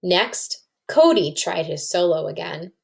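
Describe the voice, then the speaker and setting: Slow-paced woman reading aloud to children. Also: on the low side, lively intonation, creaky. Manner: explanatory, asks listeners questions. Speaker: children's read-aloud teacher. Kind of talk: storybook read-aloud with commentary for children.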